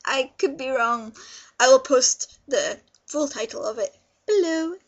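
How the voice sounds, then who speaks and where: A woman's voice: high voice, expressive, measured pace, highs cut off. A young woman giving a casual, unscripted video review in a rambling, gushing way, repeating words for emphasis. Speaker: vlogger reviewing visual novels.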